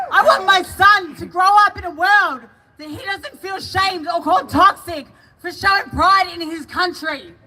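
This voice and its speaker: A woman with an Australian accent giving an impassioned, emphatic rally speech, often raising her voice to a shout. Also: very high voice, noisy background. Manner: emphatic, shouting, chanting slogans. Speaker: political rally speaker.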